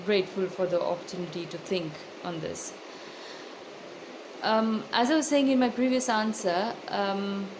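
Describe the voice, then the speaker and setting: A woman with an Indian accent giving thoughtful, articulate interview answers as an author, in a measured way with hesitant pauses. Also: medium pitch. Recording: loud background noise.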